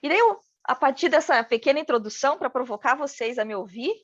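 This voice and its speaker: A woman's voice: sits high, lively intonation, fast talker, no echo, lo-fi sound. A woman with a regional Brazilian accent giving a training lecture, speaking as if she were a business owner and often adding "né?". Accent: regional Brazilian Portuguese. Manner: talks in first person as a business owner, many "né" tags. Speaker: packaging design instructor.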